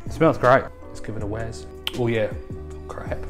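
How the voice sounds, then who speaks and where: A man's voice: fairly low pitch, fairly even intonation, moderate pace, music in the background. A man in his thirties with a New Zealand accent, chatting casually as he reviews coffee in his home kitchen. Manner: jokey, chatty, playful. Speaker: coffee show host.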